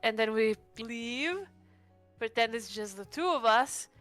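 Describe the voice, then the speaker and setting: A woman with a smooth, clear voice, pitched a little high, chats as a player in a tabletop game. She is inquisitive and tentative, thinking aloud as she asks questions, with faint music underneath. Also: lively intonation, unhurried, a bit bright. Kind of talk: casual in-game discussion and questions.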